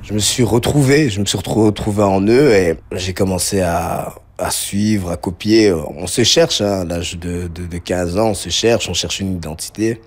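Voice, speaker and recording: Deep-voiced man telling his story. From Belgium, grew up around Liège. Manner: reflective, halting, repeats words as he searches. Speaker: interview subject telling his life story. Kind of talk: personal testimony, spontaneous storytelling. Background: music under the voice.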